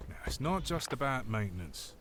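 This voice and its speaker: A matey, teasing British island mechanic in a comic audio drama. His mid-pitched voice moves about in a very animated way, with faint vehicle noise behind it. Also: normal speed. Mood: cheeky, teasing. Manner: chatty, teasing. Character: cheeky island mechanic, matey petty crook giving car tips.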